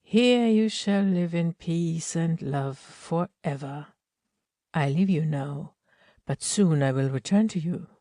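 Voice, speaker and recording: A woman playing a tender, solemn, protective husband in an audiobook myth play, earnest and deliberate. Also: deep voice, dark tone, no room sound, studio quality. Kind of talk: amateur audiobook play reading.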